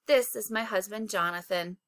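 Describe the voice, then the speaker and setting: A woman's voice: medium pitch, moderate pace, a bit bright, dry recording, voice pushed down, close to an everyday voice. A woman voicing a kindly, comforting old woman in a children's storybook read-aloud. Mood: polite.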